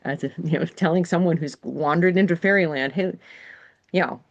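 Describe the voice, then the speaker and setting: A woman's voice: lowish voice, a little fast, highs cut off. A woman from New England, a guest game designer, telling stories in a rambling, casual way with lots of fillers. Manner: rambling storyteller, many fillers. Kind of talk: casual conversation.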